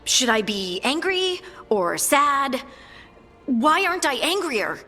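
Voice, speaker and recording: A woman's voice: high-pitched, sing-song, takes it slowly, bright, forceful tone, long reverb tail, faint music behind, exaggerated voice. A woman playing a friendly, thoughtful dwarf scout in a video game, talking in an earnest, conversational way. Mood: troubled.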